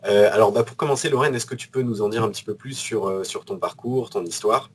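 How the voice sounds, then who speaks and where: A man hosting an interview, speaking in a halting way with many fillers. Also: fairly low pitch, slight background noise. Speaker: host. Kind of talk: casual interview chat.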